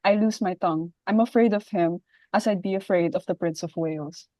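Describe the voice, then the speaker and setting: A woman's voice: on the low side, a little fast, dark tone, no echo, natural voice. A woman playing a timid, reluctant young society daughter in a dramatic reading of a stage play, plainly spoken, on a muffled recording. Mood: reluctant, protesting. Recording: dull, muffled, dry sound.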